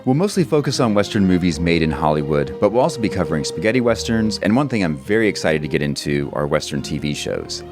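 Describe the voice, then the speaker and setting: A man with a very low voice reads a scripted promo as a podcast host. His pitch moves in a lively way, with music playing clearly behind him. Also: fast, hardly any pauses.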